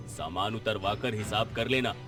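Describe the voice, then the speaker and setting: A man's voice: lowish voice, moderate pace, a bit bright, faint music behind, natural voice. A man playing a grumpy, miserly roadside-eatery boss in a cartoon, scolding and sarcastic in an exaggerated comic way. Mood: irritable, bossy.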